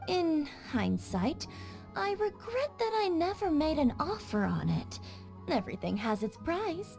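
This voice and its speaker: Shrewd, courteous high-voiced shopkeeper. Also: female voice, gravelly, a little mellow, heavily acted. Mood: sly, polite. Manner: polite, measured, coyly businesslike. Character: shrewd, courteous female grocery shopkeeper with a mercenary streak. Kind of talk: video game voice acting.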